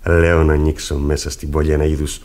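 A very deep-voiced, fawning sly man. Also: little pitch movement, darkish tone. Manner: ingratiating, halting, chuckling. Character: wheedling working-class older man, slyly pious and humble. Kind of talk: radio theatre acting.

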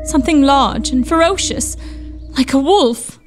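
A woman playing an anxious, gentle Victorian sister in an audio drama, speaking earnestly and with foreboding. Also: high-pitched, measured pace, faint music behind. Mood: haunted, fearful.

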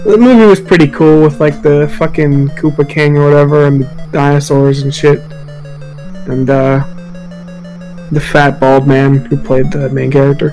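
A man's voice: a little high, little pitch movement, normal speed, dark tone, faint music behind, poor audio. A man hosting a casual podcast chat, swearing freely and ranting with exaggeration. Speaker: podcast host.

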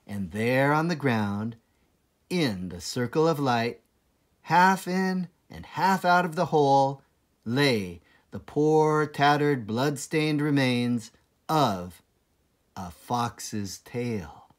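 A man narrating a children's storybook aloud in a dramatic, deliberate manner with suspenseful pauses. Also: mid-range voice, lively intonation. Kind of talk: expressive children's storybook reading.

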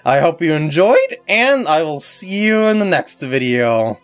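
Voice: medium pitch, wildly swooping pitch, measured pace, faint music behind. A game walkthrough host giving casual, rambling commentary with mock exasperation.

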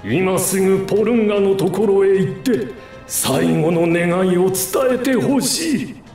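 Anime game elder: ancient wise alien, solemn and weary, unhurried, slightly high for a man, music behind. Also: animated, a little mellow. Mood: solemn urgency. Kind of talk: anime video game acting.

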